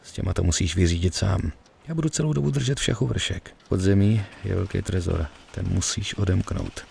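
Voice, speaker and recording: A man's voice: sits low, creaky, darkish tone, husky, full character voice. A man voicing a weary, streetwise middle-aged gangster in a Czech video game dub. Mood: businesslike. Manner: colloquial, grumbling, swearing.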